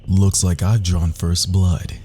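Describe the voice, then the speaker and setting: A man playing a sly, cocky rogue assassin in a fantasy audio roleplay, speaking softly and theatrically, often dropping into whispers. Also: flat delivery, slow pace, music in the background. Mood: smug, teasing. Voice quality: low, gravelly, husky.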